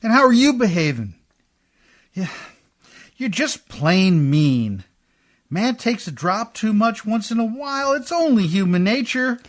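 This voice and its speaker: A man acting a rough, hard-drinking riverboat man who whines and pleads in folksy, uneducated speech in a radio play. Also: high voice, very animated, a little slow. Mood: indignant.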